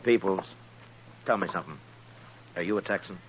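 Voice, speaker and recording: A man acting a steady, dryly wry U.S. marshal in a 1950s radio western, speaking dialogue and first-person narration. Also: deep voice, moderate pace, slight background noise, natural voice, low-quality recording. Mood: probing, measured. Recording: old radio broadcast with music.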